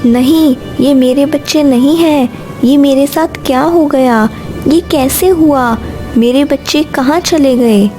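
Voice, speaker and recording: A tender cartoon mother bird, played by a woman speaks in a fairly high, mellow voice. She is gentle, anxious and emotional about her young, with faint music behind. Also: takes it slowly. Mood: distressed, shocked. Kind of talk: Hindi cartoon story dialogue.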